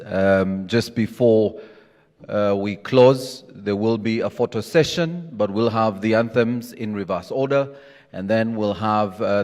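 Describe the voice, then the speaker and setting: Low-voiced man announcing in a reverberant hall. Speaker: master of ceremonies. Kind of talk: formal ceremonial announcing. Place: large conference hall.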